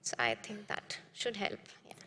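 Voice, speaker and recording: A woman's voice: medium pitch, sing-song, moderate pace, pushed and bright. A woman giving an unscripted, somewhat hesitant explanation as a conference contestant, repeating herself as she goes. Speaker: contestant answering a question. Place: large hall at a conference. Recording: echoey hall with long reverb.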